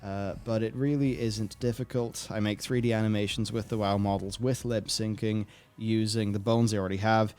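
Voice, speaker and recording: A man's voice: fairly low pitch, flat delivery, normal speed, hardly any pauses, darkish tone, faint music behind. A man hosting a casual gaming discussion, reading and answering viewer comments in a rambling way as he thinks aloud.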